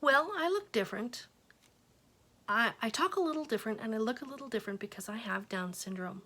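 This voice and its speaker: A woman's voice: a little high, expressive, a little fast, sharp tone, heavily acted. A woman reading aloud, voicing a friendly young man in a children's storybook.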